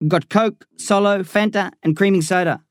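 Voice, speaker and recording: A man's voice: sits high, moderate pace, smooth, no room sound, studio quality, voice pushed up, lightly acted. A man voicing a volatile young drug boss who swaggers, taunts and swears, as a character in an audiobook. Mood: friendly.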